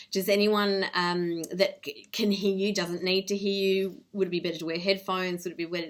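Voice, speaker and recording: A woman's voice: fairly low pitch, a bit bright. A woman giving an informal instructional presentation as a professional, explaining things in a plain-spoken, direct way. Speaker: legal professional presenting security tips.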